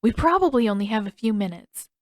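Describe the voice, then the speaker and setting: A woman's voice: mid-range voice, lively intonation, fast, dry recording, clean studio sound, close to an everyday voice. A woman voicing an earnest, alert young boy adventurer who speaks eagerly in a storybook adventure. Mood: urgent.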